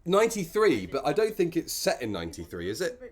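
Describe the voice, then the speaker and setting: A man's voice: on the high side, wildly swooping pitch, fairly quick. A man co-hosting a casual film commentary, with a sardonic, sweary way of talking.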